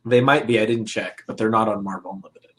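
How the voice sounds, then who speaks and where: A man's voice: fairly low pitch, fast talker, a bit bright. A man hosting a show in a casual, informal chat, talking conversationally and qualifying his points as he goes. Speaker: show host. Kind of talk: casual chat about comics.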